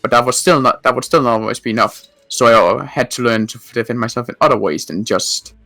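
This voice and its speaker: A man voicing an experienced male water mage who speaks in a formal, measured way. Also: medium pitch, pushed and bright, dry recording, faint music behind. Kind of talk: roleplay chat.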